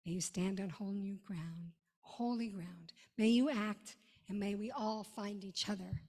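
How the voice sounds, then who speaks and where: A woman rabbi giving a sermon in an emphatic manner, with dramatic pauses and short repeated phrases. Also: mid-range voice, a little mellow. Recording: long reverberant hall.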